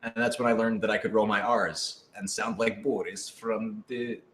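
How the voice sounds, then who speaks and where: A man's voice: on the low side, steady tone, moderate pace, fairly bright tone. A man co-hosting a casual live Q&A, chatting in an enthusiastic, rambling and self-effacing way. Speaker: co-host and voice actor. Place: indoor room, on a couch. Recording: echoey, roomy sound.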